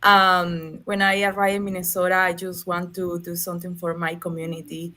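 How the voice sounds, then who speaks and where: Venezuelan woman speaking earnest halting English. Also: on the low side, flat delivery, pushed and bright, a little hiss or hum. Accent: Venezuelan Spanish. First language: Spanish. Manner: earnest, halting non-native phrasing. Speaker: nonprofit leader, guest. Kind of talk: online panel discussion. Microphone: video call.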